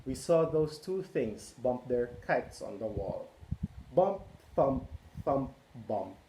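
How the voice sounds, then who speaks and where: A man's voice: mid-range voice, sing-song, slow, dark tone, slight background noise, rough recording. A man reading a storybook aloud to children, with chatty asides and questions to the young listeners.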